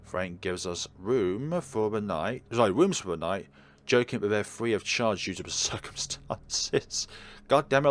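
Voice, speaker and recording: Male let's-player, fairly low voice, reading game narration aloud with off-the-cuff commentary. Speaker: game streamer.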